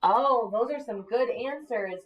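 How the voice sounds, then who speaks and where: A woman teacher reading aloud to young children, expressive and encouraging, using simple emphasized words and pausing to ask questions. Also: fairly high pitch, dull audio. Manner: encouraging, asks questions and pauses for answers. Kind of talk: interactive read-aloud lesson for young children.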